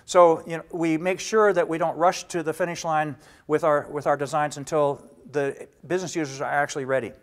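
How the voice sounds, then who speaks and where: A man's voice: a little high, steady tone. Older man explaining a training course. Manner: measured and explanatory, gesturing with both hands, frequent restarts. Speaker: data warehouse instructor. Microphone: lapel mic.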